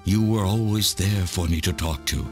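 An actor playing an elderly, obsessed scientist villain in a video game, delivering a bitter, deliberate, theatrical confession. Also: male voice, sits low. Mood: lonely, obsessed. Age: older. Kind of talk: dramatic video game voice acting, monologue. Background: game music.

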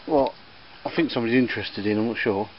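A man rambles through a casual chat, full of ums and restarts, in a middle-pitched voice. He is filming himself outdoors in a garden with a camera mic. The audio is a little dull, with faint outdoor ambience and church bells behind him. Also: wildly swooping pitch, fast, gravelly, noisy background. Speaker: vlogger showing auction finds.

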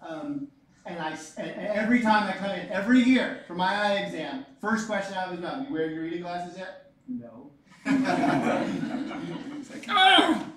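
A man's voice: high voice, normal speed, slight background noise. Man in his forties lecturing in an echoey classroom. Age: 40s. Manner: lively, joking, repeats key points. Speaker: physics teacher. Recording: echoey room, mic far off.

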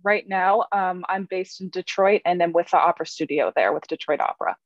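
A woman's voice: lowish voice, brisk. A young American woman introducing herself casually on a video call from indoors. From Chicago, United States. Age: young adult. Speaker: opera singer, competition finalist. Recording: dull lo-fi video-call audio.

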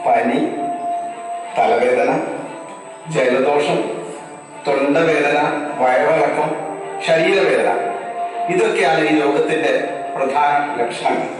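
A man's voice: a little high, slow pace, pauses often. A man with a Kerala accent playing an earnest, emphatic puppet doctor who exhorts the public in a scripted health-awareness puppet show. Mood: earnest, reassuring. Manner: emphatic, exhortative, rhetorical questions. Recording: very echoey hall, distant, music behind.

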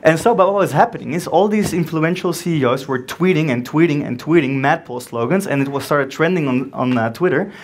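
A young Belgian man with a French accent, giving an animated startup conference talk on stage and acting out quick dialogues. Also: a little high. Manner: animated, acts out dialogue in quick back-and-forth. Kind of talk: energetic keynote storytelling. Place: dark event room with a stage and audience.

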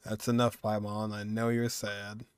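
A man's voice: lowish voice, little pitch movement, measured pace, clean tone, no echo. A young man in his twenties giving casual, slangy game reactions in short remarks as a streamer. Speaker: gaming streamer. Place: indoor room at a streaming setup. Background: video game music.

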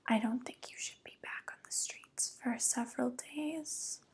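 A young woman playing a vain, sly witch in a soft-spoken ASMR roleplay, breathy and whispery, cooing with false tenderness close to the microphone. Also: on the high side. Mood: smug, sly. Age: young adult. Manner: slow, cooing, gently condescending. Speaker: ASMR performer.

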